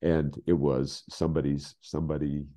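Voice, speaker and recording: American male, 60s, very low dark voice, halting emphatic storyteller, casual conversation. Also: flat delivery. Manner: halting, emphatic, repeats phrases. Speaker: guest, scriptwriter. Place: home office, video call.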